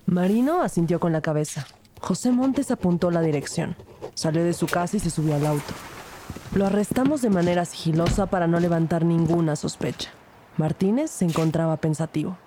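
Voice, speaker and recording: A woman playing a sharp, sceptical police officer who narrates her case in a crime audio drama, direct and probing. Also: fairly low pitch, a little fast, slight background noise, close to an everyday voice. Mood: matter-of-fact.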